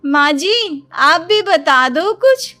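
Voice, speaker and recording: A woman's voice: very high-pitched, lively intonation, very slow, sharp tone, faint music behind, voice pushed up, full character voice. A woman voicing a self-pitying young daughter-in-law in a cartoon moral story, with a whiny, dramatic, aggrieved manner. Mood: sarcastic, irritated.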